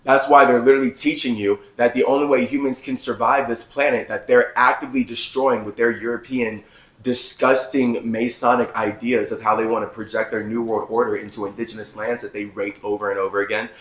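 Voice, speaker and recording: Raspy man ranting in echoey room. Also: medium pitch, fairly even intonation, a little fast, a bit bright. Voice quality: raspy, strained voice. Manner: heated, ranting, with run-on sentences. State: losing his voice. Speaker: vlogger host. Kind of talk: agitated monologue to camera. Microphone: phone.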